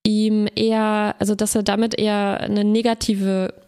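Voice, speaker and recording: Creaky-voiced chatty woman. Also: medium pitch, level tone, moderate pace, darkish tone. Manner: chatty, many fillers, frequent agreeing hums. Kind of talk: casual conversation.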